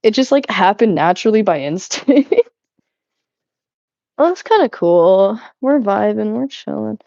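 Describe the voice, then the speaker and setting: A woman's voice: mid-range voice, expressive, unhurried, vocal fry, darkish tone, no echo. A young adult woman streaming while she cleans, chatting loosely with her viewers, giggling and swearing often. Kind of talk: casual live-stream chat while cleaning. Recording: slightly muffled, dry sound.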